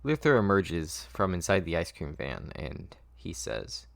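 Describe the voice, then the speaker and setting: A man with a low voice narrates a script at a table read. He goes slowly and haltingly, often stumbling and correcting himself. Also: big pitch swings, vocal fry. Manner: halting, stumbling over the text.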